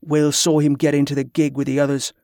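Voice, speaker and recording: Man playing a deadpan, menacing smuggler. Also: on the high side, brisk, no echo, clean studio sound, close to an everyday voice. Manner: flat, terse, unbothered, rough grammar. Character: blank, menacing, blood-spattered male smuggler.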